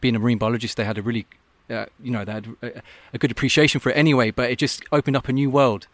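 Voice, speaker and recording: A man's voice: medium pitch, level tone, racing, fairly bright tone, no echo. A man who works as an open-water swim coach, talking earnestly and thoughtfully in a casual podcast interview, often restarting his sentences. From Scotland.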